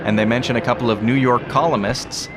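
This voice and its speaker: A man's voice: medium pitch, fairly even intonation, a little fast, background noise. A man hosting a podcast, reading a scripted introduction to vintage radio episodes. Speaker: podcast host.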